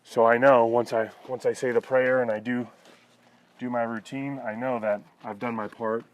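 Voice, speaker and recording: A man's voice: medium pitch, little pitch movement, normal speed. A man vlogging in a casual, conversational and reflective way, talking to viewers and often checking in with "you know". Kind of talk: casual personal talk to viewers.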